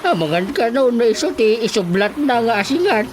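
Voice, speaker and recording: A woman's voice: on the high side, sing-song, moderate pace, a little mellow, soft background music. An actress playing a chatty, nervous village woman in a radio comedy drama, speaking with an Ilocano Filipino accent. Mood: nervous, pleading.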